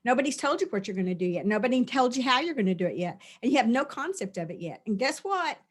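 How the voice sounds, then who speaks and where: A woman's voice: fairly high pitch, big pitch swings, quick pace. Earnest woman leading a spiritual session. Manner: earnest, repetitive, lists and repeats phrases. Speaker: spiritual teacher. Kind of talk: spoken spiritual release session.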